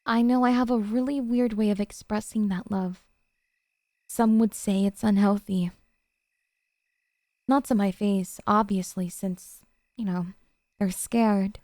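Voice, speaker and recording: A woman's voice: medium pitch, dark tone, no room sound, clean studio sound, close to an everyday voice. A woman playing an obsessive, possessive yandere girlfriend in an intimate ASMR roleplay, talking in a coy, cutesy, hesitant way to her sweetheart. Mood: coy menace.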